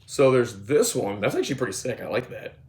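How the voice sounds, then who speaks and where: A man in his twenties with a voice hoarse from a cold, casually walking through his skateboard setup, full of fillers and restarts. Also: medium pitch, big pitch swings, fairly quick, a little mellow. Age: 20s. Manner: rambling, filler-heavy, moves around while talking. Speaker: skateboarding vlogger. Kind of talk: casual product walkthrough. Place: indoor room with gym mat. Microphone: phone or camera moved around. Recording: echoey room.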